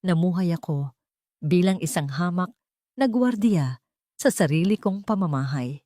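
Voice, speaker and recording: Older tycoon patriarch, read by a woman, calm and cutting, weighty deliberate lines, audio storybook, dry sound. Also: lowish voice, no room sound. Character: authoritative older male billionaire, calm, cutting, commanding.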